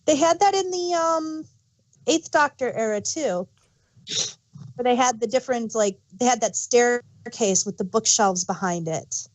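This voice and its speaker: A woman's voice: fairly high pitch, expressive, a little slow, poor audio. A woman co-hosting a casual fan discussion, explaining things in a hesitant way and often correcting herself mid-thought. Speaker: podcast co-host.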